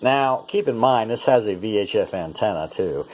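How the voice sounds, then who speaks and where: Low-voiced North American man, casual demo. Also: expressive, moderate pace, darkish tone. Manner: plain-spoken, conversational, thinking aloud. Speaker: presenter demonstrating a vintage radio. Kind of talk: casual unscripted product demonstration.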